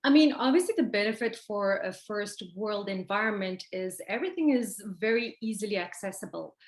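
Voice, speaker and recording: A woman's voice: mid-range voice, animated, brisk, roomy sound. A South African woman from Pretoria, in her thirties, talking as an interview guest in a confident, upbeat way. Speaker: expat guest. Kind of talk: casual interview chat.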